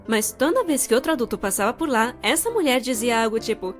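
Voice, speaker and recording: Brazilian lady doing cartoon storytime narration, highish voice, expressive n sarcastic, some music under it. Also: quick pace. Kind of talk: scripted comedic narration for an animated storytime fandub.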